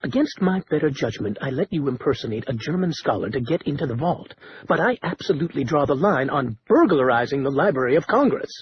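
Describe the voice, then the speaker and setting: A man voicing a nervous, fussy male librarian who talks in whiny, excitable bursts. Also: medium pitch, fairly quick, few pauses, dull audio, a little hiss or hum, natural voice. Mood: agitated.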